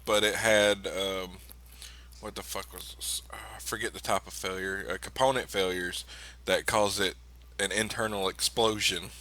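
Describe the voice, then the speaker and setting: A man's voice: deep voice, steady tone, measured pace, pushed and bright. A man talking casually and informally as a player in a tabletop role-playing game, often feeling his way through a thought. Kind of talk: casual group chat during a tabletop game.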